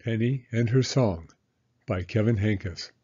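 A man narrating a children's story as a storyteller, in a measured, expressive manner. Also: fairly low pitch, husky, lo-fi sound.

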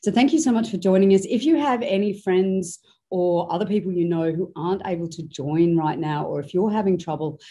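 A woman in her forties hosts a webinar with a rough, hoarse voice pitched very low and darkly. She talks chattily and welcomingly from a studio. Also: fairly quick, dark tone. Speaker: webinar host. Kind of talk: hosting a live webinar. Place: studio with branded backdrop.

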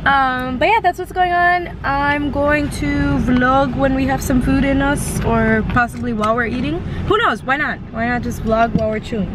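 A young woman vlogger with a fairly high voice talks to a handheld camera inside a car. Her chat is bubbly and giggly, wandering from thought to thought. Car noise is clearly heard around her. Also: moderate pace, few pauses. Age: 20s. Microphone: camera at arm's length.

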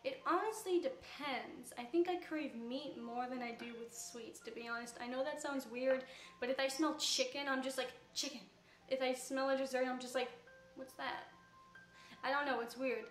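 High-voiced teen girl with an echo. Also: fairly even intonation, normal speed, faint music behind. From Canada. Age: teens. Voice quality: high-pitched voice. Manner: chatty, rambling with fillers. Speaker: YouTuber answering Q&A. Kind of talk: casual Q&A answers. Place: room with couch, recorded in a reacted video.

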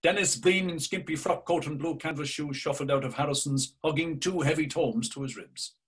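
An older man narrating a novel in a measured, deliberate way over a video call. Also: a little high, slightly rough. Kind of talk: scripted literary narration. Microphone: webcam on a video call.

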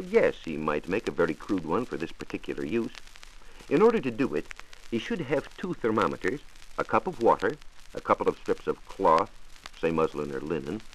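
An American man giving a formal, precise scripted science explanation as a 1940s radio reporter. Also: low-pitched. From United States. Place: radio studio. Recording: noisy vintage 1940s radio transcription disc.

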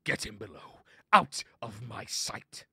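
A man's voice: a little high, sing-song, takes it slowly, sharp tone, dry recording, studio quality, voice pushed up, exaggerated voice. A man voicing a villainous pirate stoat captain in a storybook, theatrical, mocking and commanding. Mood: enraged. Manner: theatrical, taunting.

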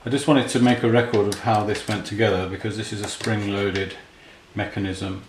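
Low-voiced man in a roomy workspace. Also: fairly even intonation, brisk, slight background noise. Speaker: repair hobbyist demonstrating a restoration. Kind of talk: casual hands-on tutorial commentary, thinking aloud while working. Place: indoors at a repair workbench. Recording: roomy, clear handling clatter and clicks.

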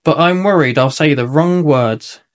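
An excitable little pig girl from a storybook, her voice squealing and set far above a normal speaking voice. A man voices her as he reads aloud. She gets swept up in everything. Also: fairly high pitch, unhurried, natural voice. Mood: worried.

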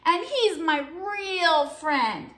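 Woman putting on a sulky, dramatic storybook shark, high-voiced. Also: expressive, drawn-out words, sharp tone, exaggerated voice. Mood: hurt, indignant. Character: sulky, dramatic, wounded shark with a hearty appetite. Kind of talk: picture-book read-aloud.

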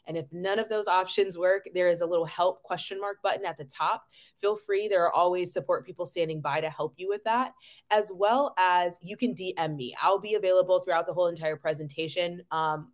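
A woman's voice: on the low side, fairly even intonation, a little fast. A woman nurse hosting an online webinar on a video call, chatty and upbeat, with lots of ums and frequent laughs. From North Carolina, US. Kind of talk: casual webinar presentation. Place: home room.

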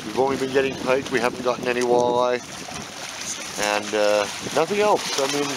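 Casual fishing vlogger, man, upbeat chatter, mid-pitched, loud wind and waves on the mic. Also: brisk. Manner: chatty, upbeat, many fillers. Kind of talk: casual chat to camera. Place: rocky lakeshore outdoors, windy. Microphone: camera mic in strong wind.

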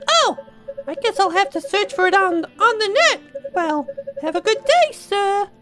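A performer voicing a friendly, chirpy female hotel receptionist in a video game, polite and drawn-out. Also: very high voice, big pitch swings, a bit bright, faint music behind, voice pushed up, exaggerated voice. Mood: friendly, curious.

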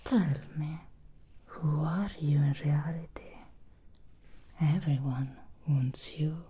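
A woman voicing an alluring, scheming female demon hairstylist in an ASMR roleplay, speaking in a slow seductive whisper. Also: low voice, warm tone. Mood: sly whisper.

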